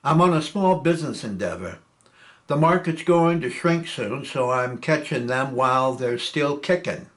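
A man's voice: medium pitch, animated, moderate pace, lightly acted. A man reading a play aloud, voicing a sly, scheming male airman who is always cutting deals.

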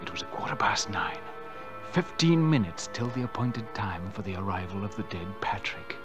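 A man's voice: on the low side, normal speed, over music, lightly acted. An actor playing a suave, scheming nephew who narrates his crime in theatrical old-time radio mystery style. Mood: sly, suspenseful.

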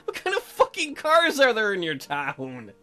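A man's voice: sits high, wildly swooping pitch, measured pace, pushed and bright. A man hosting a let's play, commentating with crude, profane jokes and reading dialogue aloud. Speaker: gaming video host.

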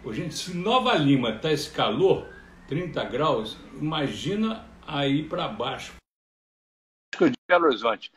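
A man's voice: fairly high pitch, big pitch swings, slow pace, husky. A middle-aged man hosting a live interview from home, chatty and joking and stumbling over his words. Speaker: host. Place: home room, indoors. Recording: muffled lo-fi live stream.

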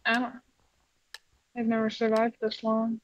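A woman's voice: a little high, monotone, unhurried, close to an everyday voice. A woman voicing a weary, grumpy animatronic puppet in an improvised game roleplay, curt and sardonic. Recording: dull lo-fi game mic.

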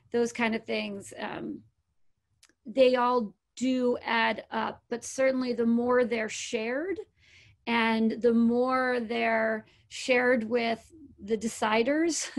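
A woman in her forties talks as a panelist on a video call. Her voice sits fairly high, and she explains things in an informal way. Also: gravelly.

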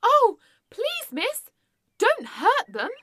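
A woman's voice: very high voice, huge pitch swings, extremely slow, bright, forceful tone, slight background noise, heavily acted. An actress playing a polite, earnest, bewildered little girl in a radio drama. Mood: pleading.

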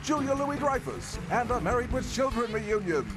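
Lively high-pitched male announcer. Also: moderate pace, music in the background. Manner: lively, punchy delivery. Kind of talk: promo voice-over.

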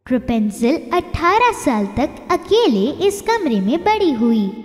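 A woman's voice: high-pitched, big pitch swings, takes it slowly, big-room sound, faint music behind. A woman narrating a scripted children's fairy tale as storyteller.

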